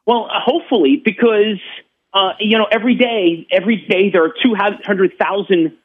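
High-voiced man on a tinny phone. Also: fairly even intonation. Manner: halting, repeats words for emphasis. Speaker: caller. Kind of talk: earnest phone-in talk. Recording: tinny narrowband phone line.

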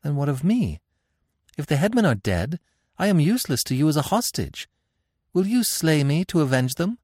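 A man's voice: on the high side, sing-song, normal speed, darkish tone, no echo, studio quality, voice pushed up. A man voicing a proud young captive queen in an audiobook adventure, imperious yet pleading and protesting. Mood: anxious, challenging.

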